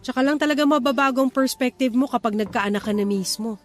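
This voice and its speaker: A woman's voice: high voice, quick pace, lightly acted. A woman with a Filipino accent playing a stubborn, protective young wife and mother in a radio-style drama. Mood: weary, worried. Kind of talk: radio-style romance drama acting in dialogue. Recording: music under the voice.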